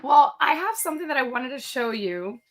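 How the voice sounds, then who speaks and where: A woman hosts a casual chat show with an upbeat, gushing manner. Her voice sits a little high and sounds a bit cracky, as if she is losing it. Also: big pitch swings, fairly quick, a crowd in the background. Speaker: podcast host.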